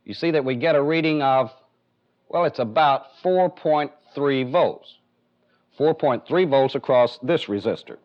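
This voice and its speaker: A man's voice: mid-range voice, unhurried. A man with an American accent giving a methodical, step-by-step technical demonstration as an instructor in a training film. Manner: methodical, plainly explanatory. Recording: dull, band-limited 1970s film soundtrack.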